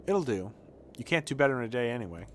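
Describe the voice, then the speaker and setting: A man's voice: mid-range voice, big pitch swings, a bit bright, a little hiss or hum. Man playing a curt, haughty city doctor. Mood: dry, impatient. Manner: brisk, dry, condescending. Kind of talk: video game dialogue read aloud.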